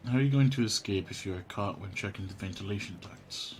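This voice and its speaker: A man's voice: fairly low pitch, fairly quick, slight background noise. A man livestreaming a game, chatting casually and reading on-screen text aloud in a laid-back, mumbly way, humming to himself. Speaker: live game streamer. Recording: music behind the voice. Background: game music.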